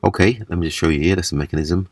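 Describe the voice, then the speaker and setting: A man giving a casual, hands-on repair demonstration, explaining haltingly step by step. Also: low-pitched, noisy background. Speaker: repair video presenter. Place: small indoor room at a table.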